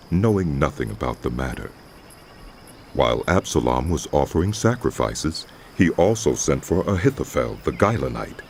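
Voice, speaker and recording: Very deep gravelly man narrating. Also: darkish tone, slight background noise. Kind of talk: scripted dramatized scripture narration.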